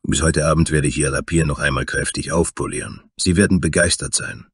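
Man acting as gruff warrior, extremely deep, husky, blunt, fantasy audio story. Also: steady tone, fairly quick, fairly bright tone, no echo, studio quality, full character voice. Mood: businesslike.

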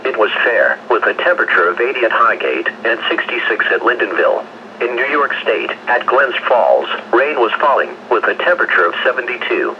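A man's synthetic, measured, impersonal voice reading a scripted forecast as a weather radio announcer. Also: mid-range voice, expressive. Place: radio speaker picked up by camera in room. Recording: dull lo-fi weather radio, noisy.

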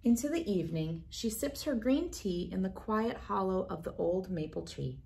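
Woman, thirties, children's read-aloud host, expressive storybook delivery, mid-range voice. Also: medium pitch, normal speed, gravelly. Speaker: host reading a picture book aloud.

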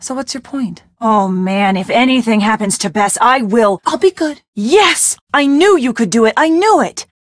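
A woman's voice: on the high side, lively intonation, moderate pace, few pauses, no room sound, studio quality, lightly acted. An actress playing a spirited, earnest young woman in a video game, a loyal and anxious friend who is wry and plucky. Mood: excited.

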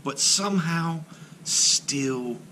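A man reading a children's picture book aloud expressively, with dramatic phrasing and deliberate pauses. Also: a little high, bright, forceful tone, slight background noise, poor audio.

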